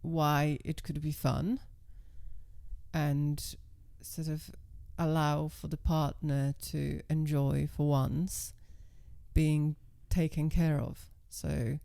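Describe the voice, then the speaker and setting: Woman with a low mellow voice, calm and level, dry close mic. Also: very slow, vocal fry, no room sound. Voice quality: naturally low voice. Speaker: interview guest, professional dominatrix. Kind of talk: casual conversation.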